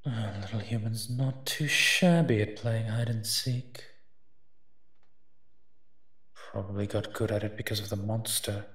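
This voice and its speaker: A man playing a wry, teasing monster who partners a human detective in a roleplay audio drama, speaking with hums and pauses. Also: fairly low pitch, a bit bright, breathy edge, dry recording. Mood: smug, amused.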